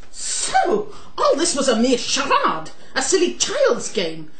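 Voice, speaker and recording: A woman playing an excitable, bumbling villain's henchwoman in an amateur audio drama, exaggerated and melodramatic. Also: high voice, slow pace, roomy sound, full character voice. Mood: indignant. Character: bumbling, excitable female henchwoman, obedient and treacherous.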